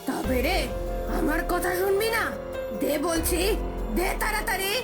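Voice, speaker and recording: A woman's voice: very high-pitched, expressive, measured pace. Lady doing a nasty greedy she-demon for a fairy tale cartoon, threatening, commanding, music playing. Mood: angry threatening. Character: wicked, menacing female demon hungry for eggs.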